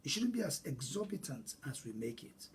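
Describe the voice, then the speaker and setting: A man's voice: a little high, sing-song, brisk, slight background noise. A man from Nigeria with a Nigerian accent, a native Igbo speaker, hosting an earnest, emphatic solo talk on money. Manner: earnest, emphatic, repeats phrases for stress. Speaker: host.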